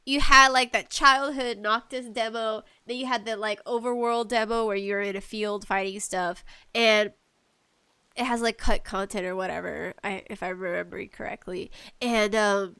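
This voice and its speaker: A woman hosting a podcast, giving casual, opinionated gaming commentary in an animated, ranting, giggly way. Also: medium pitch, normal speed, smooth, no echo. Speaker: podcast host.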